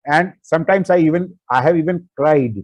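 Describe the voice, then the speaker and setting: A man's voice: a little high, moderate pace, darkish tone, no echo. A man whose first language is not English, an author answering interview questions with many fillers and restarts.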